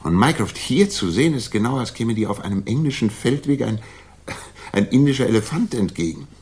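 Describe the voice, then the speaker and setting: An actor playing a restless, sharp-minded gentleman detective, theatrical and articulate, in a 1960s radio drama. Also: medium pitch, animated, brisk, husky. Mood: explaining, dry.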